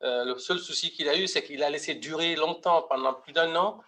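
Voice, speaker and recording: A man's voice: a little high, brisk, pushed and bright. A middle-aged man from Mauritania giving a medical webinar lecture, hesitant, with many fillers and restarts. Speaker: pediatric heart surgeon presenting.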